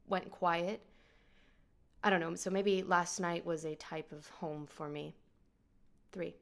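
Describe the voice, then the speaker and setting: A young woman from Texas giving a reflective, searching monologue with a quietly wry edge. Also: fairly low pitch, creaky. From Texas, United States. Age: young adult. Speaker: interviewee in a video installation.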